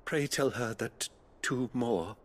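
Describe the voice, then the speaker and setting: Slow, solemn British priest played by a man. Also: medium pitch, a little hiss or hum, close to an everyday voice. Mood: grave, courteous. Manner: measured, compassionate, formal. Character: gentle, solemn middle-aged abbey priest tending the dying. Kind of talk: video game cutscene acting.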